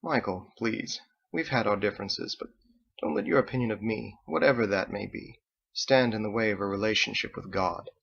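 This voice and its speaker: A man's voice: lowish voice, moderate pace, gravelly, fairly bright tone, slightly rough, highs cut off, exaggerated voice. A man voicing a charming, fretful old father. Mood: earnest. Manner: effusive, wheedling, exclamatory. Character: charming, fretful elderly father and tavern keeper, pious.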